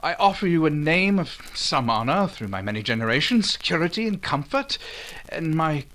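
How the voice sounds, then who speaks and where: An actor playing a proud, ardent Regency gentleman suitor in old-time radio romance style, formal and earnest. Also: on the high side, very animated. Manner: formal, earnest, halting when moved.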